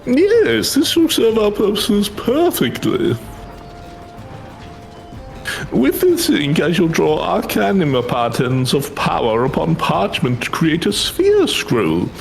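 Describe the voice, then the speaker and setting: A man voicing an excitable, grandiose scholar in a fantasy game, with formal, theatrical phrasing. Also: fairly high pitch, unhurried, vocal fry, slight background noise, exaggerated voice. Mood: pompous, pleased.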